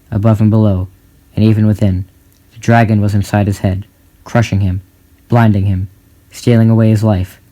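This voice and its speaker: Low-pitched man narrating a dramatic story. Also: dark tone, low-quality recording. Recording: music behind the voice. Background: clear music.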